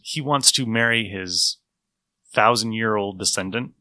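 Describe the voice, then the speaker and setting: A man co-hosting a casual review podcast, explaining in a geeky, animated way and repeating himself for emphasis. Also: on the low side, unhurried, dry recording. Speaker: podcast co-host. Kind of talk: casual review chat about old radio episodes.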